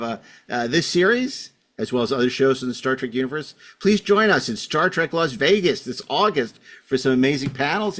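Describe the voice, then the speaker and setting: A man's voice: medium pitch, a bit bright. A man hosting a podcast in a casual, rambling way, often correcting himself as he goes. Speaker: podcast host.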